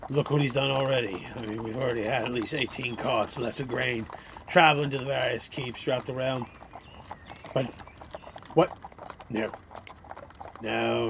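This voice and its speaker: Man acting seasoned cart driver, mid-pitched, folksy old-time talk, fantasy radio play, faint background noise. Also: gravelly, natural voice. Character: gruff experienced male cart driver guiding a younger companion.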